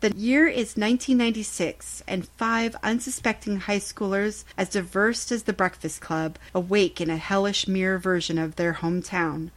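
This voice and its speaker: A woman's voice: medium pitch, fairly even intonation, normal speed, few pauses, low-quality recording. Woman reading a scripted gaming news report. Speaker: podcast segment host.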